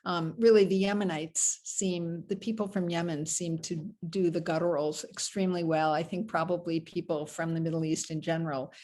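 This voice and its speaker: A woman's voice: fairly low pitch, steady tone, moderate pace, few pauses, mellow. An older American woman, a rabbi, leading an informal Torah study on a video call, talking in a loose, meandering way with many ums and asides. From United States.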